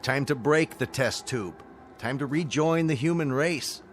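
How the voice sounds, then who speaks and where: An actor playing a reasonable, authoritative space colonel in a radio drama, plain-spoken and measured. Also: male voice, medium pitch, slight background noise, close to an everyday voice. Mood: firm, challenging. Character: firm, reasonable male colonel leading a space rescue mission.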